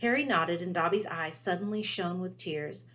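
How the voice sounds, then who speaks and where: A woman giving an expressive read-aloud narration of a storybook. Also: on the low side, moderate pace. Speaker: storybook reader.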